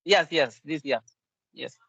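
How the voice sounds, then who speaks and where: A man with a high voice for a male speaker and a Bengali accent practises casual English. He is a native Bengali speaker whose phrasing is halting and simple, often confirmed with a yeah or yes. The audio is dry and somewhat dull, like lo-fi call sound. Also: gravelly, a bit bright, husky, no echo. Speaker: English learner.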